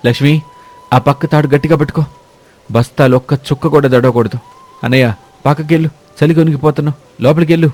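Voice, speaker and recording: A man playing a poor, devoted younger brother in a village moral story, speaking forcefully and emotionally in rustic colloquial speech. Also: fairly high pitch, fairly even intonation, fairly quick, close to an everyday voice. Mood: urgent. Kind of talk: dramatic moral-story cartoon voice acting.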